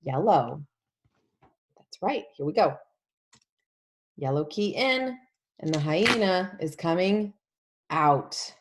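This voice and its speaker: A woman leading an interactive storybook read-along for young children, animated and emphatic, using simple repeated phrases and questions. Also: fairly low pitch, takes it slowly, pauses often, slight background noise. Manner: animated, emphatic, often drops to a whisper.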